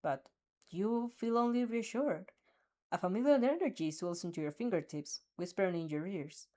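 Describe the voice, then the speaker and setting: A woman's voice: medium pitch, normal speed. Young woman reading fanfic expressively. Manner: expressive, giggles at her own slips. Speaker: fanfic reader. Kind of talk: second-person audio fanfic reading.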